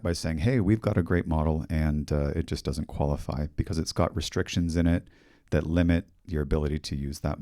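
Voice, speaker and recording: A middle-aged man with a Canadian accent giving a technical conference talk in a fairly monotone, steady voice, speaking into a handheld mic on a hall stage. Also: low voice, clean studio sound. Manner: monotone, steady explanatory delivery. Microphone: handheld microphone.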